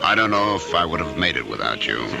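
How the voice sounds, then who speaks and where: A man's voice: sits low, big pitch swings, quick pace, creaky, music in the background, exaggerated voice. An actor playing a resentful, insecure middle-aged man in an old-time radio drama. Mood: nostalgic, confiding.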